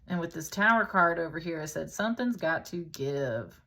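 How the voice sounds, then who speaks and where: A woman's voice: lowish voice, animated, normal speed, a bit bright, slight background noise. A woman giving a casual, conversational tarot reading in an informal, chatty way, as if thinking aloud. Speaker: tarot reader, host.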